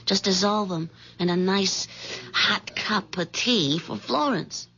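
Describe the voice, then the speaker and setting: An American actress playing a seductive, scheming bank co-worker in a radio drama, coaxing and matter-of-fact. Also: female voice, mid-range voice, slow pace, pushed and bright, a little hiss or hum. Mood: coolly persuasive. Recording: dull lo-fi old radio recording.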